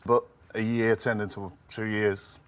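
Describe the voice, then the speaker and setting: A man in his fifties from Liverpool, a game show contestant, talking hesitantly and softly with many pauses. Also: lowish voice, little pitch movement, clean tone. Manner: hesitant, soft-spoken, many ums. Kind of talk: casual chat. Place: TV studio.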